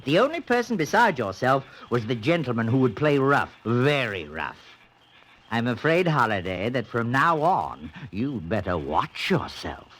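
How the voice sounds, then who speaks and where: A smug, sly gentleman schemer in an old radio mystery. His mid-pitched voice swoops wildly as he teases and taunts with theatrical relish. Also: big pitch swings, a little slow, a little hiss or hum. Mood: gleeful, menacing. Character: smug, teasing rich man running a sly game. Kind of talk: old-time radio drama acting.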